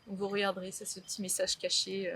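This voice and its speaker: A woman's voice: mid-range voice, monotone, fast talker, fairly bright tone, breathy edge. A young woman from Brittany, a painter, talking casually in an interview with many fillers and restarts.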